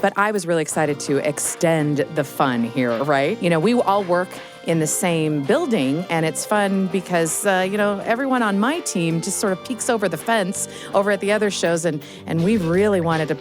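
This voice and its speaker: A woman's voice: lowish voice, moderate pace, few pauses, over music. A woman chatting enthusiastically and casually as an audio drama director. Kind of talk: casual post-show conversation.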